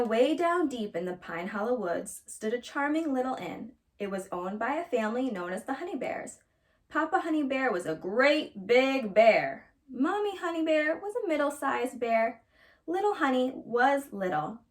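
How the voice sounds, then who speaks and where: A woman reading a children's storybook aloud as a storyteller, in an expressive narrating style. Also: fairly high pitch, normal speed.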